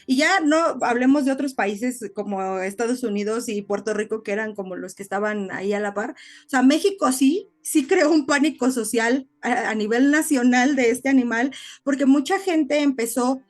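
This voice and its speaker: A woman's voice: fairly high pitch, normal speed, darkish tone. A woman co-hosting a casual podcast, explaining things like a storyteller with frequent little tag questions. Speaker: podcast co-host.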